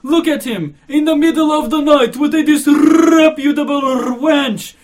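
A man's voice: extremely high pitch, measured pace, a little mellow, pitched above the performer's own voice. A man voicing an outraged old male police commissioner, roaring and declaiming in a dramatic novel reading. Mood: raging.

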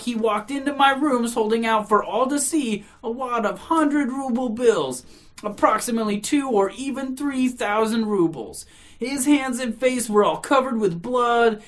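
A woman voicing a polite, firm young gentleman official in a classic novel reading, speaking in measured, courteous phrases. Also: on the high side, close to an everyday voice.

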